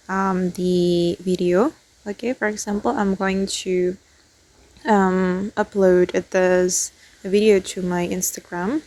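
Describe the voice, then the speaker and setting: A woman's voice: medium pitch, vocal fry, a little mellow. A woman hosting a step-by-step how-to tutorial as a spoken voice-over. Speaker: tutorial host.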